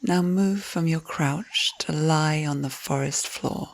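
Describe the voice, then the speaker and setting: A woman leads a guided visualisation in a low, soft voice with a creaky edge. She speaks very slowly and soothingly, giving gentle instructions and letting phrase endings turn breathy. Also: no echo. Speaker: guided meditation narrator. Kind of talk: guided visualisation, scripted narration.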